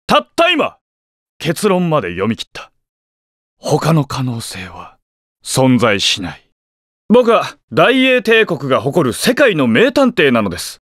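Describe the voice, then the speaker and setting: A showy, boastful detective. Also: male voice, sits high, fairly bright tone, no room sound, clean studio sound, heavily acted. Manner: theatrical and boastful. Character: flamboyant, self-assured male detective. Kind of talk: game voice clip. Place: studio.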